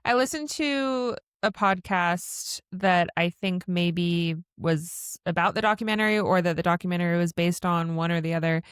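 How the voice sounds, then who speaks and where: A woman with a low voice co-hosting a casual podcast. She talks in a relaxed way and thinks aloud with fillers as she goes. The sound is close and dry, with a lowish pitch and no room echo. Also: moderate pace, few pauses, smooth. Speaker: podcast co-host. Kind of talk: casual chat.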